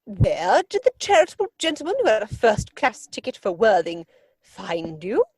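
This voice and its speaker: A woman's voice: medium pitch, very animated, normal speed, a little mellow, no echo. A woman playing an imperious, snobbish older upper-class lady in a theatrical comedy reading, pompous and disapproving. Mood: scandalised. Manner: pompous, disapproving, crisply emphatic. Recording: dry home video-call recording.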